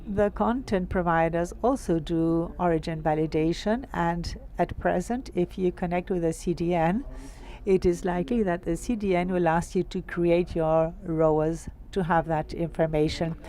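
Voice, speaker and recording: Colombian accent woman answering a question, low-ish voice, lots of examples. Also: fairly even intonation, moderate pace, dark tone. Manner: explanatory, piling up examples. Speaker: panelist answering audience questions.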